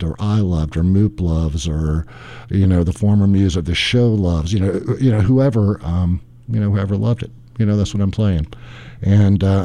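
A deep, mellow older man with a drawl, talking into a studio mic as a radio DJ. His voice runs a bit hoarse, and he chats in a loose, rambling way. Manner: rambling, chatty, easygoing storyteller. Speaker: radio DJ and show host. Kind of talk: casual radio patter between songs. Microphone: studio microphone.

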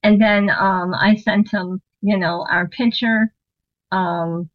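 A woman telling a long story in a casual interview, animated, acting out what people said and laughing often. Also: medium pitch, slow, dry recording, muffled recording. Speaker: interview guest, drummer and singer.

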